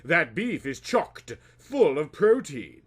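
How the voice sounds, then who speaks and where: A man's voice: on the high side, very animated, takes it slowly, recorded from a distance. A man voicing a proud but insecure boyfriend with earnest, overdramatic delivery in a comic audio story. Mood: proud, coaxing. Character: proud, insecure macho boyfriend, brooding and melodramatic.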